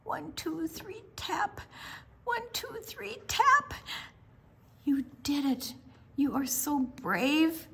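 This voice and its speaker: An older woman reading aloud to small children at storytime, theatrical, stretching words and dropping into hushed asides. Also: high voice, sing-song, very slow, vocal fry. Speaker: children's library storytime reader. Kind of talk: animated read-aloud narration for small children.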